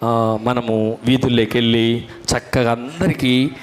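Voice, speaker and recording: A man's voice: medium pitch, steady tone, unhurried, slight background noise. A man working as a Sunday school puppet show host, talking to children, prompting them questions and repeating the answers.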